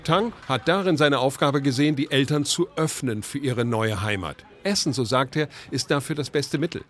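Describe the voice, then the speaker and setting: A man's voice: medium pitch, moderate pace, fairly bright tone. A man, a native German speaker, reading scripted documentary narration as a radio reporter.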